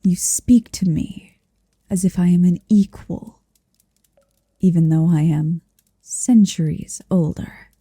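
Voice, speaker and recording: A woman playing a possessive, centuries-old dragon in human form, tender yet commanding, in a soft, hushed ASMR roleplay that often slips into whispers. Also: fairly low pitch, dry recording, full character voice. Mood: intimate, intense. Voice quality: soft, breathy. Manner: slow, deliberate, hushed, often slipping into whisper. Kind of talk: ASMR roleplay, intimate second-person monologue.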